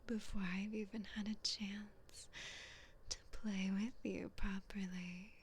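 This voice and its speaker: A woman's voice: medium pitch, flat delivery, a little hiss or hum, lightly acted. A woman playing a cruel, mocking evil queen in an ASMR roleplay, whispering breathily in a condescending, commanding way. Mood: patronizing, smug. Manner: slow, condescending, commanding, possessive.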